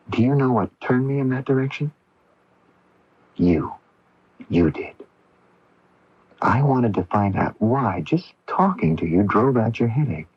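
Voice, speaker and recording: A kind young medical intern with a husky, lowish voice, gently evasive and halting as he soothes. It is 1980s radio drama, heard through a dull, lo-fi broadcast recording.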